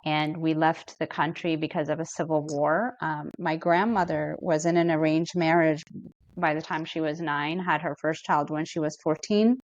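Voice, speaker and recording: A middle-aged woman from Ethiopia, a therapist speaking as a podcast guest in an earnest, reflective and measured way. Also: low voice, fairly even intonation, no echo, muffled recording. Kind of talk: podcast interview.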